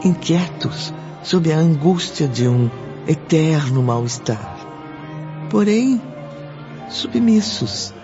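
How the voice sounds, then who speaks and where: Man acting a slow, sombre Brazilian narrator. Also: medium pitch. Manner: dramatic, deliberate. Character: sombre male narrator of tormented souls. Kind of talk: dramatised radio novel narration. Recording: dull lo-fi audio over music.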